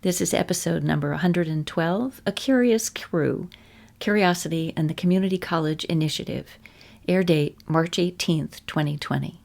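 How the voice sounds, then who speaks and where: Gravelly-voiced woman hosting an interview. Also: fairly low pitch, moderate pace, a little mellow. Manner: thoughtful, musing questions. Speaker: podcast host. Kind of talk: interview conversation. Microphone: studio microphone.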